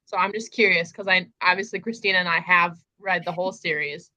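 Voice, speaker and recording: A woman's voice: lowish voice, steady tone, fairly quick, bright, forceful tone, dry recording, rough recording. A woman co-hosting a book discussion in casual, conversational chat. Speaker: co-host of a book readalong live stream.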